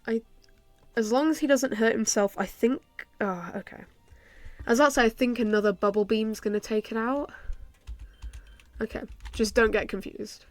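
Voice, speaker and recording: A woman's voice: fairly high pitch, normal speed, long pauses, no echo, faint music behind. Stuffy-nosed young woman thinking aloud. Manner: thinks aloud with many ums, soft laughs. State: stuffy from a cold. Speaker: gaming YouTuber. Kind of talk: casual game commentary.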